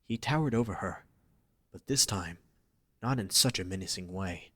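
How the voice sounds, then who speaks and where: A man's voice: low-pitched, moderate pace, fairly bright tone, breathy edge, dry recording. A man narrating a scripted romantic story for an audio drama, reading dramatically.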